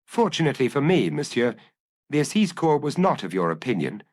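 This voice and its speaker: A male narrator plays a cornered suspect in a detective audiobook. His pitch is lifted and swings about, nervous and defensive, as he struggles to sound unconcerned. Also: big pitch swings, brisk. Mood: defiant bluffing. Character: fearful, cornered male murder suspect feigning indifference. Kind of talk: audiobook character acting.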